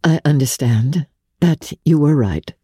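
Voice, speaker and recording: A woman voicing a refined, earnest young society lady in a dramatic storybook reading, halting and breathless. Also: deep voice, expressive, mellow, breathy edge, no echo, studio quality, full character voice.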